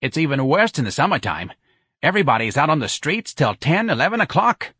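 A man voicing a bossy, sharp-tongued working-class woman in an audiobook, pushy and emphatic. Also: a little high, sing-song, brisk, husky, no echo, studio quality, voice pushed up, exaggerated voice. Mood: brisk. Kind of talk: audiobook character dialogue.

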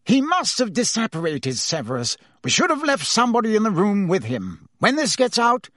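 A fretful, blustering official who barks and puffs, his voice raised higher and more animated than a calm speaker's, as a male reader puts him on. It is a close, dry narration-style recording. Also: normal speed, dry recording, heavily acted. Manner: blustering and barking.

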